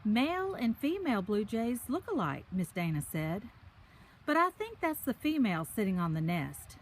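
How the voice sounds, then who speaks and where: A woman's voice: on the high side, very animated, normal speed. A woman reading a picture book aloud to children, speaking to them directly in simple, chatty asides. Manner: addresses young listeners directly in simple, encouraging asides. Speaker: children's story reader. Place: outdoors on a park trail.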